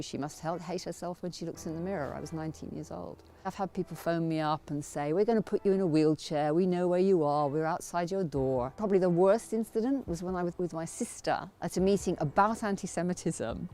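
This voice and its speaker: A woman giving personal testimony as an activist, speaking in a grave, deliberate manner. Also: fairly low pitch, mellow, slight background noise.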